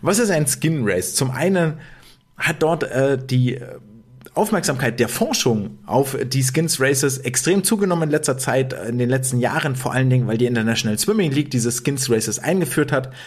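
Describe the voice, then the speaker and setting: A man's voice: medium pitch, animated, normal speed. A man hosting a swimming podcast in casual talk, chatty with many fillers and restarts. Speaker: podcast host.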